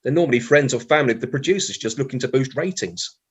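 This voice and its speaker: A man's voice: mid-range voice, quick pace, breathy edge, close to an everyday voice. A man playing a grumpy, dismissive middle-aged British husband in a radio comedy play, sardonic and grumbling. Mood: scornful.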